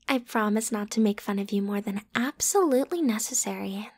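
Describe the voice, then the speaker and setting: A woman plays a popular teenage schoolgirl in an intimate ASMR roleplay. Her voice sits fairly high. She speaks in casual, youthful phrases, breezy and pushy, playfully teasing the one person she addresses. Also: big pitch swings, unhurried, husky, exaggerated voice. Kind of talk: ASMR roleplay, one-sided conversational acting.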